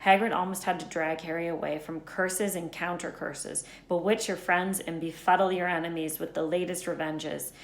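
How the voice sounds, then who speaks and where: A woman's voice: lowish voice, fairly even intonation, normal speed, few pauses. A woman narrating a children's book aloud as a storyteller. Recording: echoey room.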